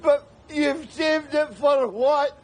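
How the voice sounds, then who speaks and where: An actor playing a sardonic, rambling middle-aged down-and-out man, who breaks into shouts. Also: high-pitched, very animated, measured pace, slight background noise, exaggerated voice. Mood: frantic. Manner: wry, rambling, bursts into shouts. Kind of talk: screen drama acting.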